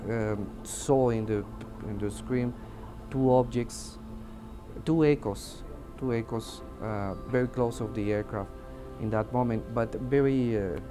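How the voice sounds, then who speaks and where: A man with a Mexican Spanish accent, a native Spanish speaker, giving interview testimony as an air traffic controller, hesitantly searching for words. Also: medium pitch. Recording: music under the voice. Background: background music.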